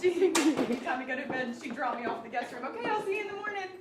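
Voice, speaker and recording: A woman's voice: high voice, expressive, fast talker. A woman bantering and telling stories casually among friends. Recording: busy party crowd behind voice.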